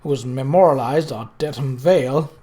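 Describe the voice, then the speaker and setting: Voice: medium pitch, normal speed, mellow, voice pushed down, natural voice. A reader voicing a gushing, bossy Edwardian society lady with a theatrical, effusive manner in an audiobook. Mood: firm, bossy.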